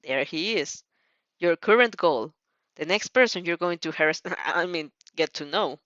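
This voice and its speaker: Casting: female audio-fanfic narrator, playful, expressive pitch, informal with slips, slightly muffled and dry sound. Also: measured pace, no echo. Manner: playful, stumbles and giggles at slips. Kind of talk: fan fiction read aloud, dramatized narration.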